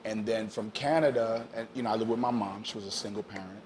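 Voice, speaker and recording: A man in his fifties from Haiti, a DJ telling his story in a casual interview, relaxed and full of you-knows and ums, slipping into French now and then. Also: on the low side, fast talker, a little hiss or hum. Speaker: DJ guest.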